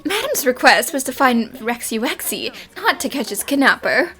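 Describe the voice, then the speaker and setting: A woman voicing a perky, food-loving young lady detective in a cartoon fan dub, chirpy and excitable. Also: high-pitched, normal speed. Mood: cheerful.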